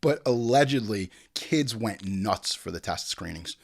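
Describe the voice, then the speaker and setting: Animated, irreverent man riffing on movies. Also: fairly low pitch, unhurried, gravelly. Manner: irreverent, sweary, digressive, mimics people in asides. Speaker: host of a film commentary show. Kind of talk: comedic film commentary with acted-out bits.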